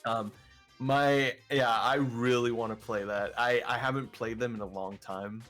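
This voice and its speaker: A man with a deeper voice, guesting on an interview and talking in a casual, rambling way with many restarts. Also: steady tone, measured pace, fairly bright tone, soft background music. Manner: rambling, filler-heavy, frequent restarts. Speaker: vtuber guest.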